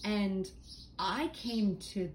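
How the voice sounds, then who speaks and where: Chatty woman in an echoey room. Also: drawn-out words. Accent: slight Boston, mostly neutral American. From Boston area. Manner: chatty, animated, drops into high put-on voices for quotes. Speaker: podcast co-host, actor. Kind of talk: casual chat. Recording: roomy echo, faint background noise.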